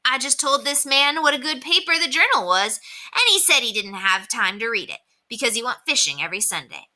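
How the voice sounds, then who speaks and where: Storybook read-aloud: a boastful young boy, as a woman reads him, high and lively. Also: fairly quick. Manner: cocky, pleased with himself.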